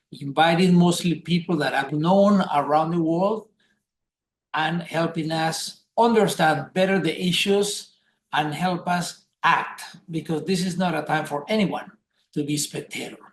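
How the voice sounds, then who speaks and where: An older man from Colombia, hosting a webinar over a video call and introducing his guest deliberately and emphatically. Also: fairly high pitch, a little hiss or hum. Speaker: webinar host. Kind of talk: scripted introduction. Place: indoors at a home desk, blurred background. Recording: roomy echo.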